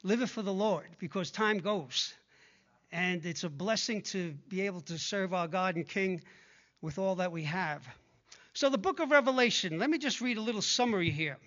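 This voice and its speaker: A man in his sixties preaching a sermon, speaking conversationally and growing hushed when he prays. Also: high voice, moderate pace, highs cut off. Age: 60s. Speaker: pastor. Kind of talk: sermon with prayer and scripture reading.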